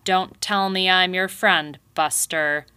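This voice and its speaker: A woman's voice: lowish voice, steady tone, slow pace, clear, resonant tone. A woman voicing a grumpy old male porcupine who barks rude insults at everyone. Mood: angry insulting. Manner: shouting insults.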